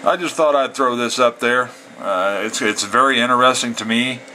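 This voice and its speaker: A man's voice: medium pitch, moderate pace, sharp tone, slight background noise, poor audio. An American man with a folksy, plain-spoken way, talking casually as a CB radio hobbyist. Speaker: amateur CB radio operator. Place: indoors at a home radio setup.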